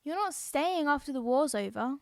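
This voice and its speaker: Woman playing young farm girl, blunt, cheeky, high-pitched, dry close recording, radio play. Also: expressive, moderate pace, darkish tone, no echo. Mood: matter-of-fact. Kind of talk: audio play acting.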